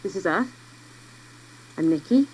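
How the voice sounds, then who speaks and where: A woman's voice: on the low side, animated, a little slow, a little mellow, slight background noise, rough recording. A woman playing a lone person radioing out from Earth in an audio drama, calling out repeatedly in a projected voice. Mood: searching, calling out.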